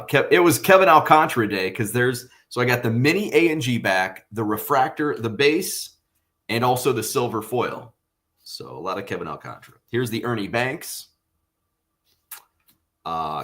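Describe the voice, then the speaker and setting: Low-ish voiced guy, a bit husky from just waking up, rambling casual vlog chat with lots of uhs. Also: fairly bright tone. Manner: rambling, filler-heavy, conversational. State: slightly husky morning voice. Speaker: card collecting vlogger.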